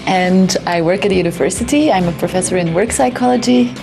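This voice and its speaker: A woman in her thirties from Belgium introduces herself plainly. Her voice is low and gravelly, and loud guitar music plays over it. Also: fast talker. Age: 30s. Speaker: professor. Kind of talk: casual self-introduction.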